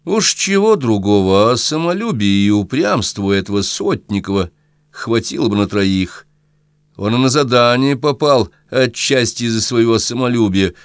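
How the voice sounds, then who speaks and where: A man reads a literary audiobook in a fairly low voice. The recording is dry, with very little room sound. He delivers scripted prose as a narrator telling a story. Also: no room sound.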